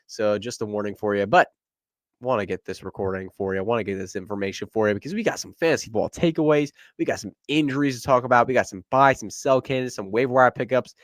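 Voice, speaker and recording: A man's voice: on the low side, no echo, studio quality. A young man hosting a solo sports podcast, stuffed up and a little nasal, talking with energy in a casual, rambling way. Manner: energetic, conversational, quick asides. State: congested, stuffy nose. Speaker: podcast host.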